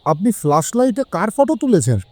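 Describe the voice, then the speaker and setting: A man's voice: sits high, big pitch swings, fairly quick, a little mellow, slight background noise. A man playing an astonished, horrified questioner, exclamatory, in an audio story. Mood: shocked. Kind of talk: dramatic audio story dialogue.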